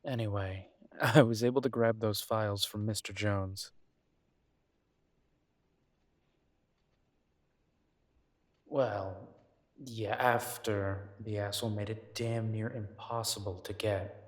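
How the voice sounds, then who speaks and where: A man's voice: on the low side, steady tone, unhurried, dry recording. A man voicing a grumbling but affectionate werewolf detective in an intimate audio roleplay, wry and fondly teasing. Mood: casual, exasperated. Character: gruff, affectionate male werewolf detective, grumbling city dweller.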